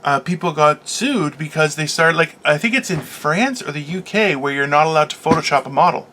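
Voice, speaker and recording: A man in his late thirties co-hosting a podcast, chatting casually in a loud, animated and teasing way. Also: a little high, a little fast, slight background noise. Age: 30s. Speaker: podcast co-host. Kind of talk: casual chat.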